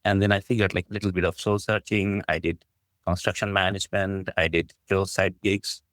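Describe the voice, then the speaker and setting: A man's voice: low voice, little pitch movement, moderate pace, a bit bright, dry recording, clean studio sound. A middle-aged man from Bangladesh with a Bangladeshi accent, earnestly telling his story as an interview guest in a conversational way. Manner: earnest, appreciative, frequent "like, you know". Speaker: podcast guest, business school dean.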